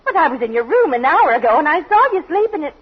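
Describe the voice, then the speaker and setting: An actress playing a loving, worried and exasperated wife and mother in a 1940s radio comedy, expressive and fretful. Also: high-pitched, fast talker. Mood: shaken, reproachful. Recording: muffled old radio recording with hiss.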